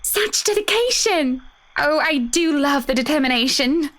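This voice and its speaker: An actress voicing a gracious, doting married woman in a visual novel, solicitous and effusive, with a gently chiding manner. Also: sits high, lively intonation, measured pace. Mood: delighted.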